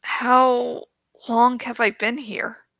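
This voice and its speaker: A woman reader puts on a weakened young man from a storybook. He is anxious and hesitant, tripping on his first words. The delivery is very slow and bright, recorded dry and close. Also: a little high, level tone, vocal fry, no echo, heavily acted. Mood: alarmed. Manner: hesitant, stammering. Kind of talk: audiobook storybook reading.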